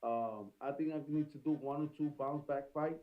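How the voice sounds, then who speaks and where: A boxer with a strong Puerto Rican accent, speaking English as his second language, talks earnestly and keeps asking if he's understood. His voice sits a little high for a man. The recording sounds dull and lo-fi. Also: moderate pace, faint music behind. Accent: Puerto Rican Spanish. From Puerto Rico. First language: Spanish. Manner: earnest, repeats "you understand?". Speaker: boxer guest. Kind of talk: casual interview chat.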